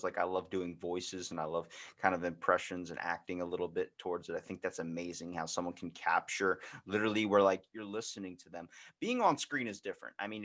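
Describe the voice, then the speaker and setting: A man hosting a podcast interview, chatting casually in a rambling way and acting out little asides as he goes. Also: low-pitched. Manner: rambling, animated storytelling with acted-out asides. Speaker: podcast host interviewing a guest. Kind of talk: casual chat.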